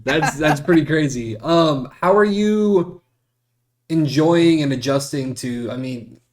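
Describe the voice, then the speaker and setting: A man's voice: fairly high pitch, slow pace. A man hosting a podcast interview over a video call, talking in an easygoing, candid way. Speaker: podcast host. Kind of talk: casual interview.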